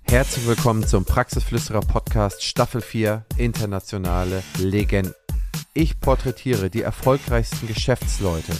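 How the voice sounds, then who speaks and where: A man hosting a podcast, reading a scripted introduction in a measured way and then asking questions in casual conversation. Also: lowish voice, music in the background. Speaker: podcast host.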